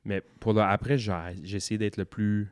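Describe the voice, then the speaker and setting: A man's voice: lowish voice, little pitch movement, moderate pace, clear, resonant tone, no room sound, clean studio sound. A man with a Québécois French accent chatting casually as a podcast co-host, peppering his talk with slang, filler and laughs. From Quebec. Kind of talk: casual chat.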